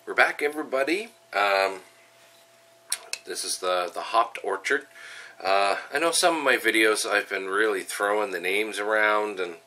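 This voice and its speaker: A middle-aged man talks through his home brewing in an upbeat, chatty way, full of ums and uhs, his nose stuffed up from a head cold. His lowish voice sounds bright and a bit tense. He is picked up from a few feet away on a camera mic, with a faint hiss behind him. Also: unhurried, recorded from a distance. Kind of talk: casual how-to and tasting vlog. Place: home kitchen, then small room at home.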